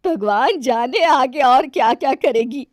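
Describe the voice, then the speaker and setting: A woman voicing a middle-aged mother-in-law in an animated moral story, expressive and theatrical. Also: sits high, a little slow, no echo, clean studio sound. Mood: indignant. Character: middle-aged city mother-in-law, affectionate but easily exasperated.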